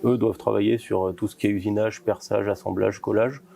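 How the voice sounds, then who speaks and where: A man explaining his company's work in an informal interview, in a halting way with repeated false starts. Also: fairly low pitch, steady tone, gravelly, warm tone. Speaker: company co-founder being interviewed.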